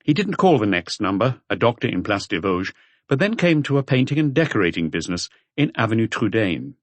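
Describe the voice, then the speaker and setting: A man's voice: lowish voice, big pitch swings, a little fast, no room sound. A man reading scripted crime fiction as an audiobook narrator.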